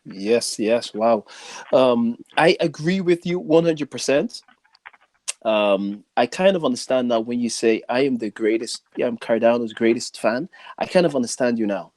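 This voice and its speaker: A man hosts a casual podcast interview at a medium pitch. He talks haltingly, circling back on his phrases and padding them with fillers. The recording is dry, without room echo. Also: a little mellow. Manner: halting, frequent "you know". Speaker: podcast host.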